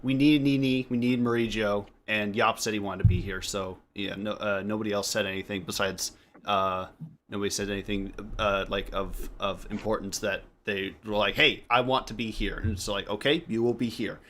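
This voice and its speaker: A man's voice: lowish voice, steady tone, moderate pace, a bit bright, a little hiss or hum. A man hosting a casual group chat, rambling, joking and often interrupting himself. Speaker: podcast host.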